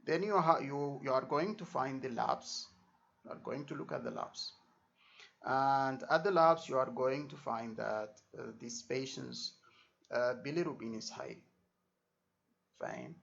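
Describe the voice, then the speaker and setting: A man's voice: medium pitch, unhurried, vocal fry, a bit bright. A man giving an informal exam lesson as a tutor, repeating himself and checking understanding with short tags. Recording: dull lo-fi microphone sound.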